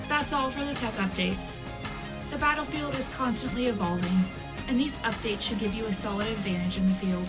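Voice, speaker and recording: A woman's voice: on the high side, brisk, close to an everyday voice. Woman playing a wartime radio presenter over music. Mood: composed, official. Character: brisk female wartime propaganda radio news presenter. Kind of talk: scripted military news bulletin. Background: loud music bed.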